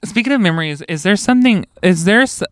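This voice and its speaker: A man's voice: sits high, lively intonation, quick pace, dry recording, clean studio sound. A man co-hosting a podcast from a parked car, bantering in a teasing, giggly way. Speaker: podcast co-host. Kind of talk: casual chat. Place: parked car in a parking garage.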